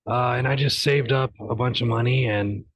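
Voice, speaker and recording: A young man from the United States speaks as a podcast guest, relaxed and a little hesitant, filling his pauses with ums and uhs. His voice sounds close and dry, with no room around it. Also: medium pitch, husky, no room sound. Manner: hesitant, laid-back, many fillers. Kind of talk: casual interview chat.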